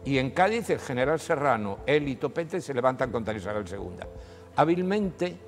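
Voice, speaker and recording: Hombre mayor de voz rasposa, narrador de historia. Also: male voice, normal speed, in a large hall, soft background music. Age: older. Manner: animated storytelling, rises in pitch when emphasizing. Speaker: historian guest. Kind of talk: studio history discussion. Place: TV studio. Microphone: lapel.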